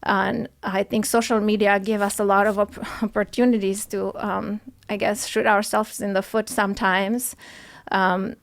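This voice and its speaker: A woman, answering interview questions in a soft, hesitant way with many pauses and ums. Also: mid-range voice, flat delivery, gravelly. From Romania. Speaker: podcast guest, nurse. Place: studio.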